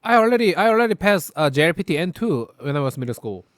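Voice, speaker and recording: Man, halting. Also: fairly high pitch, very animated, no room sound, studio quality. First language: Korean. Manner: halting, repeats words while searching for English. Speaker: co-host reacting to a video. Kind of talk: casual chat.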